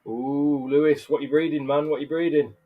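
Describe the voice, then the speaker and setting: A man's voice: a little high, steady tone, moderate pace, narrow, tinny audio, a little hiss or hum. A man in his thirties with a blocked-up, nasal voice from illness, rambling casually to livestream viewers and laughing often. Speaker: live streamer and bug keeper. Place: bug room, indoors.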